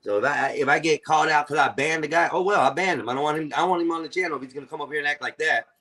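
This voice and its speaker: A man's voice: on the high side, very fast, pushed and bright. A man in his forties hosting a live-stream panel, talking bluntly and confrontationally and swearing freely. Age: 40s. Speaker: stream host.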